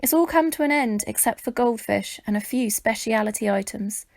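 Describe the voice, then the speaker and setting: Woman as a brisk, bossy circus man, higher voice. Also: darkish tone, dry recording, close to an everyday voice. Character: brisk, teasing circus man in a strange tent.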